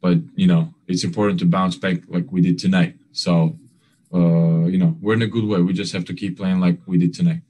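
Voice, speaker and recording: A young man answers reporters over a video call, his voice sitting fairly low. He talks earnestly but haltingly, stacking fillers and restarting phrases, and his grammar slips here and there. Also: flat delivery, warm tone. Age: young adult. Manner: earnest, non-standard grammar. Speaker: basketball player. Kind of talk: postgame press conference answers.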